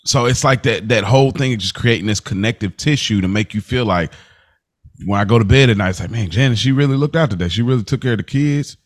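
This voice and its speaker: A man co-hosting a casual podcast, giving long, relaxed explanations and laughing easily. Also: medium pitch. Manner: laid-back, drawn-out explanations, laughs easily. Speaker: podcast co-host. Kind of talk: casual TV recap chat.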